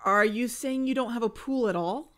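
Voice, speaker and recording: A woman's voice: fairly high pitch, moderate pace, fairly bright tone, close to an everyday voice. A woman playing a pushy, self-assured investigator in a mock-documentary audio drama, officious and insistent. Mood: incredulous.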